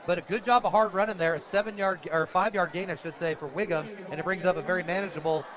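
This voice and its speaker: An American man doing live radio play-by-play of a high school football game, in clipped, energetic present-tense calls. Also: fast talker. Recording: loud crowd behind outdoor broadcast. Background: stadium crowd.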